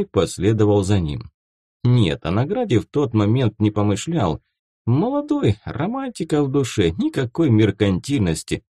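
A male audiobook narrator reads fiction expressively in a fairly low, mellow voice. His intonation moves widely from phrase to phrase, and the recording is close and dry. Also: breathy edge, dry recording.

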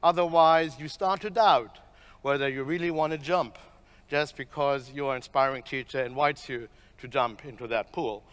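A man's voice: mid-range voice, moderate pace, fairly bright tone, smooth. A middle-aged man presenting a keynote talk on a conference stage as a professor, speaking into a headset mic. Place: large conference stage, indoors.

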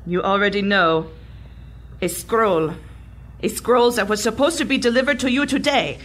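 A woman's voice: mid-range voice, normal speed, a bit bright, close to an everyday voice. An actress playing an imperious, coldly scornful female Nazi agent in an audio drama, commanding and formal in speech. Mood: stern, accusing. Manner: haughty, commanding, formal phrasing.

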